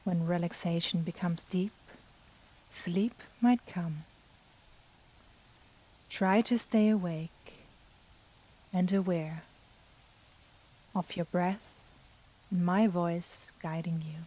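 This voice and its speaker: A woman guiding a yoga nidra meditation in a soft, breathy, soothing manner with long pauses. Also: on the low side, steady tone, rough recording. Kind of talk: guided meditation.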